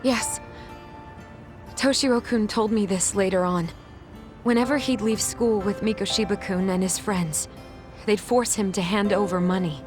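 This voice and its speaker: A woman's voice: medium pitch, normal speed, natural voice. Guarded young schoolteacher, played by a woman, earnest and burdened by guilt, video game drama, mid-range voice, music underneath. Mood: somber, confessional. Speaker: English teacher. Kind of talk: dramatic game cutscene acting.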